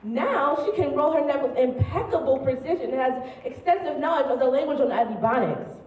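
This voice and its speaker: A woman's voice: sits high, lively intonation, fairly quick, darkish tone, recorded from a distance, lo-fi sound, slight background noise. A young American woman delivering a theatrical monologue on stage in a dramatic, articulate manner. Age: young adult. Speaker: student spoken-word performer. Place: stage in a large room. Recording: echoey stage, picked up from afar.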